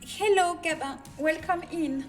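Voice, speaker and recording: Slow-talking French-accented woman streamer, murmurs while working, recorded from afar over music. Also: extremely high pitch. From France. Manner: muttering to herself, often dropping to a whisper. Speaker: livestreamer. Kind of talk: casual livestream while working. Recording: distant mic with music behind.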